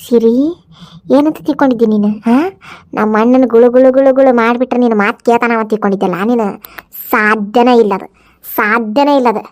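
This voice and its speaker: A woman's voice: a little high, steady tone, fairly quick, darkish tone, natural voice. A woman playing a spiteful, gloating schemer in a family drama, taunting and muttering with a North Karnataka accent. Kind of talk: melodramatic family drama acting.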